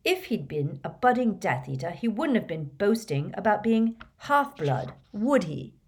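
A woman's voice: medium pitch, sing-song, a little slow, darkish tone, breathy edge, pitched above the performer's own voice, full character voice. Woman reading as a sarcastic teenage boy. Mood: heated. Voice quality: sarcastic, dry.

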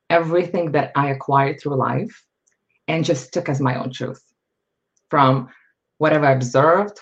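Woman telling her story. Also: low-pitched. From Lithuania. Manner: candid, reflective, with frequent fillers. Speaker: podcast guest. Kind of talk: personal storytelling. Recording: slightly dull lo-fi audio.

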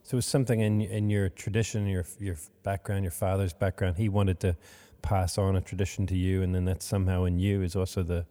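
A man hosting a podcast interview, speaking haltingly with many fillers, recorded in a monastery room. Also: deep voice, level tone, mellow, in a large hall. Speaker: podcast host.

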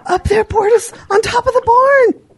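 A woman voicing a chatty, excitable female farm animal in a children's audio drama. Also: extremely high pitch, normal speed, no echo. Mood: flustered.

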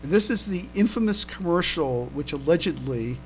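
A man with a fairly high voice talks casually as a podcast co-host. He goes haltingly, doubling back on his words. There is faint noise and hum behind him. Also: low-quality recording. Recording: steady background noise and hum.

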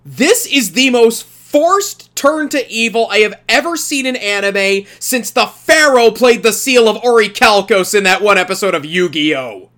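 A man's voice: high-pitched, animated, a little slow, hardly any pauses, bright, forceful tone. A man hosting a casual podcast review, telling things emphatically and putting on mock quotes as he gives his opinions. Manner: emphatic, mock-quoting storyteller. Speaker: podcast host leading an anime review.

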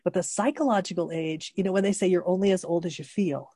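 A woman's voice: on the low side, fairly even intonation, fast, dark tone, slightly rough, no room sound, studio quality. A woman in her sixties co-hosting a casual chat, explaining her points thoughtfully and laughing easily. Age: 60s. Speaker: co-host.

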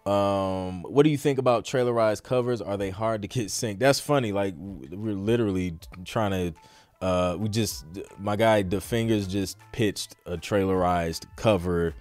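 Low-voiced man rambling casually over music. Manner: rambling, thinking aloud, frequent "like" and "you know". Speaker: podcast host. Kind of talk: casual live Q and A talk, reading and answering questions.

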